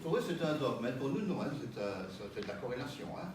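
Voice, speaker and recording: A man giving a public talk and answering audience questions, lively and joking, with frequent laughs. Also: mid-range voice, quick pace. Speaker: pastafarian minister giving a talk. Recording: echoey hall with noise, mic far off.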